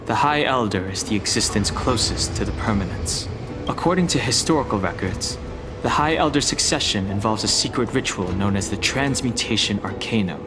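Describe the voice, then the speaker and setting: A man voicing a reserved, earnest young dragon warrior in a video game, speaking in a formal, measured and serious manner. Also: on the low side, background noise, lightly acted.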